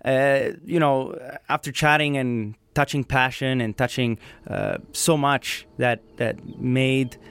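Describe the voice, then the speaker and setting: A man hosting an interview, enthusiastic, asking long halting questions. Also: mid-range voice, slight background noise. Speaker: podcast host.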